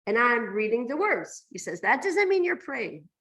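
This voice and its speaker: A woman's voice: on the high side, very animated, normal speed, a bit bright, low-quality recording. A woman teaching a religious class, explaining texts emphatically and often posing rhetorical questions to her listeners. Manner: emphatic, poses rhetorical questions and checks "right?".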